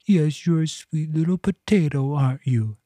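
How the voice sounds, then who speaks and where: A man's voice: fairly high pitch, normal speed, mellow, no room sound, studio quality, close to an everyday voice. A man voicing a chatty old woman servant who fusses and coos over a cat. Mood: cooing. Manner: fussy and cooing.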